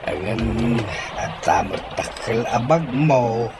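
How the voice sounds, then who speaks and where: An actor playing a frail, grateful old grandfather in an Ilocano radio drama, speaking in a halting, weary way. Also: mid-range voice, expressive, over music. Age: older.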